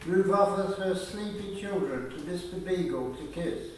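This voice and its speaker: A man narrating a radio play from a script, reading stage directions in a measured, deliberate way. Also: sits high, darkish tone. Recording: distant, echoey, noisy room recording.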